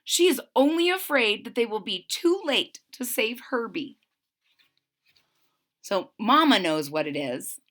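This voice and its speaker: A woman reading a children's story aloud in an expressive style, with simple retelling asides. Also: on the high side, unhurried.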